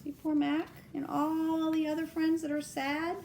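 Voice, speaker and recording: A woman reading a children's storybook aloud as a grandmother, expressively, pausing to ask the young listener simple questions. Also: high voice, level tone, vocal fry, a little mellow, a little hiss or hum.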